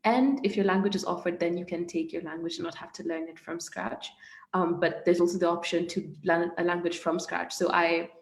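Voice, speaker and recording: A young woman, a native Swahili speaker, answering student questions in a friendly, thoughtful way with many pauses. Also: on the low side, steady tone, gravelly. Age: young adult. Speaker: student panelist. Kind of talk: casual Q&A answers. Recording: echoey room.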